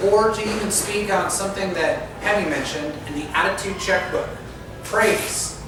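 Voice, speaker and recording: Man, coaching tone, talking to an audience, high voice, roomy echo, heard from a distance, background noise. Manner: instructive, coaching tone with direct address. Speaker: speaker giving a club talk. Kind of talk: presentation to an audience. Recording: echoey hall from far off with noise.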